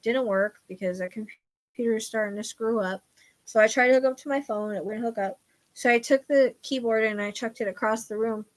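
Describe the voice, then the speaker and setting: A middle-aged woman with a loud voice, chatting casually with online viewers as a psychic show host, rambling and confiding and trailing off quietly at the ends of thoughts. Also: fairly high pitch, fairly quick, rough recording. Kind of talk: casual chat.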